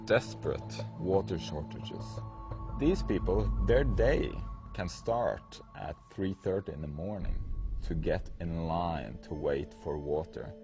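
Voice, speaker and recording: A man's voice: low voice, slow, music in the background. A man from Norway, a photographer talking thoughtfully in a documentary interview, sometimes restarting his sentences.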